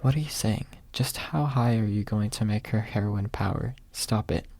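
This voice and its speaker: A man's voice: lowish voice, normal speed, darkish tone, dry recording. A man voicing a flustered, protesting young male hero in a fantasy audiobook.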